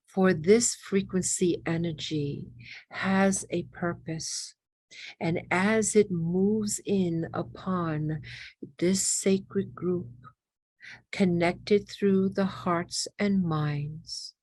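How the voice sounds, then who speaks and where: Slow, low-voiced woman guiding meditation. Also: a little mellow, dry recording. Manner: reverent, incantatory, repeating phrases in unhurried fragments. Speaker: guided meditation leader. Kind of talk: guided meditation, spiritual channeling.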